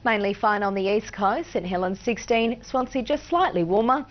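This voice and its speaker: A woman's voice: medium pitch, normal speed, lo-fi sound. An Australian woman working as a news anchor, reading scripted bulletin copy.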